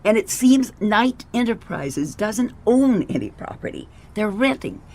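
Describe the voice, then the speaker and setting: A man playing an eager, inquisitive office assistant to a detective in a radio mystery, with a creaky voice. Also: sits high, sing-song, unhurried, a little hiss or hum, exaggerated voice. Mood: excited, revealing. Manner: inquisitive, keen. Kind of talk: radio mystery drama acting.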